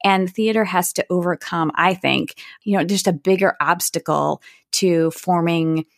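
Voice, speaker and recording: A woman's voice: on the low side, a little slow, gravelly, no room sound, clean studio sound. A woman hosting a podcast interview, conversational and reflective, thinking ideas through aloud.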